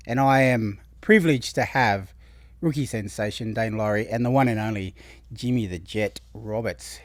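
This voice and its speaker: A man's voice: medium pitch, dry recording, clean studio sound. An Australian man hosting a podcast, upbeat and chatty, asking long rambling questions. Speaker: podcast host. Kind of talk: casual interview hosting.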